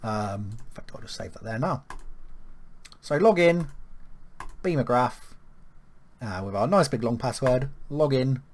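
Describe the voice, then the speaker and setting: Man from the UK talking through a software setup. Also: mid-range voice, a little slow, pauses often, slight background noise. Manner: relaxed, filler-heavy walkthrough with frequent ums. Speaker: web tutorial presenter. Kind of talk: screen-recorded software tutorial.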